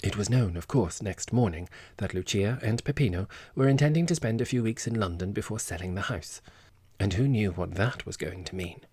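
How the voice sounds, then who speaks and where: Low-voiced man narrating an audiobook. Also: fairly quick, a little mellow, breathy edge, no room sound, clean studio sound. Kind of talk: scripted literary narration.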